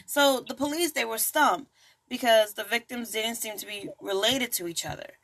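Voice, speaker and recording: A woman's voice: on the high side, normal speed, fairly bright tone. A woman hosting a true crime podcast in a casual, chatty way, full of asides and jokes. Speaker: podcast host.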